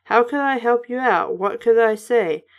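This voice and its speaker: A woman's voice: on the high side, moderate pace, lo-fi sound, lightly acted. A woman voicing an indignant young girl who narrates a spooky children's storybook, with dramatic protests.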